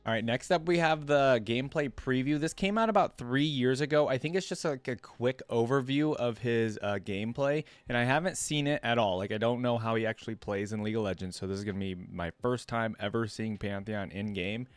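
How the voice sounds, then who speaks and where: A man's voice: medium pitch, a little fast, few pauses, clean tone, no room sound. A man hosting a reaction video in casual chat, informal and thinking aloud as he goes, often repeating himself.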